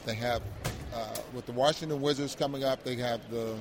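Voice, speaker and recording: A male sports analyst talks through a game in a conversational way. His voice is medium in pitch, and he speaks haltingly, doubling back on words in an agreeable manner. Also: fairly even intonation, slight background noise. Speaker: sports radio co-host, analyst.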